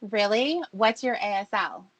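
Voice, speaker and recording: A woman's voice: medium pitch, a little slow, fairly bright tone, no room sound. A woman giving short, casual chat replies.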